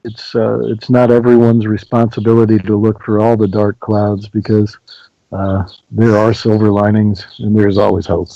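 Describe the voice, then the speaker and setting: A man co-hosting a podcast, mostly chiming in with short agreement and signing off gently. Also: on the low side, level tone, moderate pace, creaky, no room sound. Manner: brief agreeing replies, gentle upbeat sign-off. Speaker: podcast co-host. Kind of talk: casual political chat. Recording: muffled dull audio.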